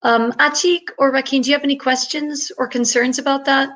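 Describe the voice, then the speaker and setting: A woman's voice: high-pitched, fairly even intonation, brisk, a bit bright. Upbeat woman tutor on a call. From Ireland. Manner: chatty, upbeat, many fillers and laughs. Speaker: English tutor. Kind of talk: online panel talk.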